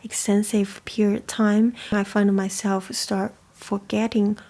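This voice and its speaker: Gravelly-voiced woman. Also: medium pitch, little pitch movement, a little slow, dark tone. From China. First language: Chinese. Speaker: host. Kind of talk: casual reflective talk.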